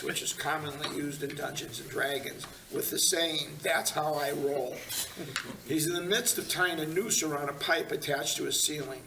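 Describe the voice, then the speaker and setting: A woman narrating a screenplay at a table read, plainly reading the stage directions with small stumbles. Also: low voice. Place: large meeting room. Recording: echoey room, distant mic, faint noise.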